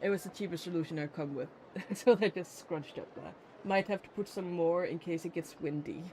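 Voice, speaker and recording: A streamer chatting casually in a rambling, giggly, expressive way. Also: mid-range voice, a little fast, a little hiss or hum. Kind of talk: casual livestream chat.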